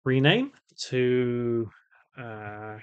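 A man presenting a casual step-by-step software tutorial, explaining and thinking aloud as he works. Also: mid-range voice, lively intonation, drawn-out words, clear, resonant tone, highs cut off. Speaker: tutorial host.

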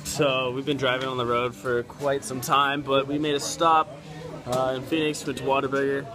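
A man's voice: medium pitch, level tone, normal speed, over music. A young American man chatting casually to camera in a slangy way, recorded on a phone held at arm's length. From Texas, USA. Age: young adult. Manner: slangy, mildly profane. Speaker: vlogger, college rugby player. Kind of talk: casual vlog chat to camera. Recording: background chatter, handheld outdoor and indoor audio.

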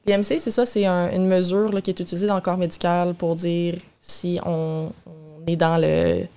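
A woman's voice: on the low side, level tone, moderate pace, warm tone, smooth. A young woman with a Québécois French accent explains things in a casual, informal interview style. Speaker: guest nutritionist. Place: small radio studio, indoors. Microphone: boom-arm studio microphone with headphones.

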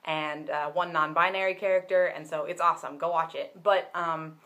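A man's voice: a little high, steady tone, normal speed, bright, forceful tone. Young man chatting casually to a webcam. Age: young adult. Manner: chatty and candid, many fillers and restarts. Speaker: vlogger. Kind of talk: casual personal vlog. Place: bedroom with bookshelves, indoors.

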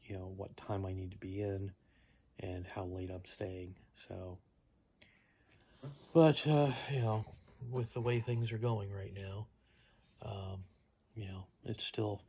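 A tired man in his fifties rambling casually to camera, halting and low-key as he thinks aloud. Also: creaky, mellow. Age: 50s. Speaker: vlogger. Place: small living room at home, indoors. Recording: noticeable background noise.

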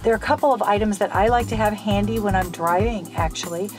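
A woman's voice: mid-range voice, fast talker, music in the background. A woman in her fifties hosting a casual snack review in a parked car, chatty and enthusiastic. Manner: chatty, enthusiastic, exclaims over items. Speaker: host. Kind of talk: casual chat, product review. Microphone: camera mounted in car.

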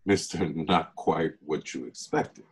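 A smooth-talking young charmer , acted by a man at a table read. His voice is very deep and husky, and he takes his time with each line of the film script. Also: slow pace, full character voice. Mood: teasing, unapologetic. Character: smooth-talking man running an online dating deception. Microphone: video call.

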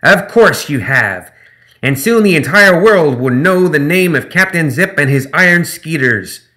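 A man's voice: fairly high pitch, expressive, a little slow, pushed and bright, voice pushed up. A man voicing Captain Zip, a pompous male gremlin sky pirate who makes grandiose, self-important proclamations.

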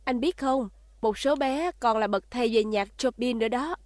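A woman's voice: on the high side, normal speed, darkish tone, lightly acted. A woman playing a firm, argumentative young mother and wife in a cartoon dub. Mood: insistent. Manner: persistent, argumentative. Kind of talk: cartoon dubbing. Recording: music behind the dialogue.

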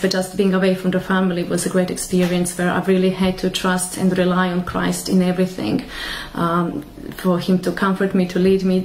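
Soft-spoken woman, flat and low. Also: slight background noise, poor audio. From Croatia. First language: Croatian. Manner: soft-spoken, hesitant, many fillers. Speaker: church member sharing testimony. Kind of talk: personal testimony to camera. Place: small room at home, indoors.

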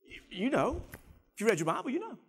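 A middle-aged man preaching a sermon from a church stage on a lapel mic, animated and swinging between quiet and loud passages. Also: very high-pitched, fast, husky. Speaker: pastor.

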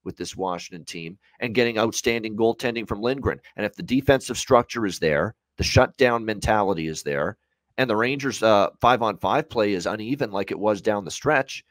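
A man's voice: lowish voice, dry recording, low-quality recording. A man in his thirties giving conversational sports betting analysis from a room at home, building long, hedged, run-on points. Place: small room at home, on a video call.

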